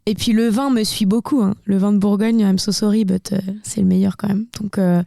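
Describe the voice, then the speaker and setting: A woman's voice: mid-range voice, fairly even intonation, brisk, vocal fry, warm tone, dry recording, clean studio sound. A young woman from Burgundy with a slight Burgundy French accent, a singer chatting candidly as a guest in a casual interview. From Burgundy, France. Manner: candid, talkative, many fillers and restarts.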